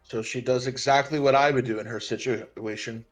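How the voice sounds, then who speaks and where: A man co-hosting a casual film discussion, speaking haltingly and repeating phrases for emphasis. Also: mid-range voice, fairly even intonation, faint music behind. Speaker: film podcast co-host.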